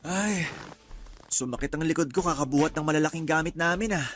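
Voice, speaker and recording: A man's voice: a little high, a little fast, a bit bright, soft background music, natural voice. A man acting a hardworking, devoted young husband and father in a Tagalog radio drama, earnest and affectionate. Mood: tired, relieved.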